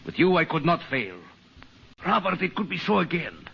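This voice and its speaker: An actor in an old-time radio drama playing a cunning, megalomaniac scientist villain who speaks in a theatrical, halting way. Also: male voice, a little high, sing-song, gravelly, breathy edge, exaggerated voice. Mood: fervent, grandiose. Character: scheming megalomaniac male scientist villain, falsely meek, cunning. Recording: dull, noisy old radio recording.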